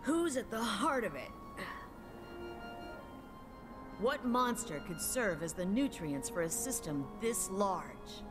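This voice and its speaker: Woman playing a low-voiced, seasoned woman explorer. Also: close to an everyday voice. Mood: musing, reverent. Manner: measured, musing, assured. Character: seasoned, wry older woman field researcher, mentor. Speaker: game voice actor. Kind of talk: dramatic video game cutscene acting.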